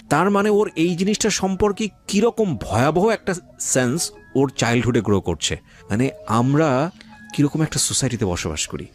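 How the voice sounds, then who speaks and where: A man with a Bangladeshi accent hosting a life-story interview, mixing in English phrases as he questions the guest. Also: a little high. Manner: mixes Bengali with English phrases. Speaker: interview show host.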